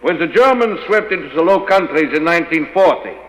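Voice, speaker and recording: A man's voice: a little high, lively intonation, a little fast, fairly bright tone. A man playing a grave, precise Dutch government representative giving a briefing, in 1940s radio style. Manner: formal, precise. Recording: long echoing hall reverb.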